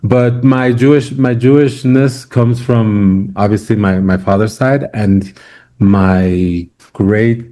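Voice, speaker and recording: Male guest from Ecuador, low voice, hesitant and conversational, video call. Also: steady tone, slow, mellow. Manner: hesitant, frequent restarts. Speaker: guest author. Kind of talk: conversational interview.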